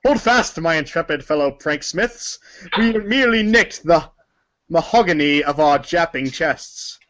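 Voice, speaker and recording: A man's voice: fairly high pitch, lively intonation, measured pace, a bit bright. A man voicing a jovial, pompous old-time colonel, reading florid, exclamatory prose in an archaic theatrical manner. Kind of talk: theatrical reading of a humor book. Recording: dull lo-fi audio.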